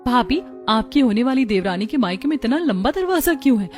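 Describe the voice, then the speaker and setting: Casting: female actor as young cartoon sister-in-law, fairly high voice, chiding and teasing, music underneath. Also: very animated, fairly quick, darkish tone. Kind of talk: Hindi family cartoon dubbing.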